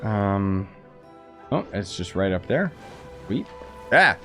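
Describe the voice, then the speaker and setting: A man's voice: fairly low pitch, very animated, slow pace, fairly bright tone. Sarcastic male game streamer. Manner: sarcastic, informal. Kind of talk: casual live gameplay commentary. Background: faint game music.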